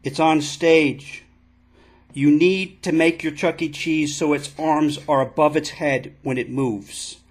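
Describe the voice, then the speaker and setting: A man playing an indignant father making an absurd complaint on a prank call, halting and repeating himself insistently. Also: fairly high pitch, fairly even intonation. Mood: earnest, aggrieved. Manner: halting, repetitive, insistent, addressing listener as ma'am. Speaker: prank caller.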